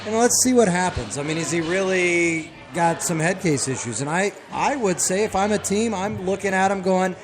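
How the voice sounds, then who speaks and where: Fairly high-voiced man discussing sports. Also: animated, normal speed, hardly any pauses, noisy background. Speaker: sports radio show co-host.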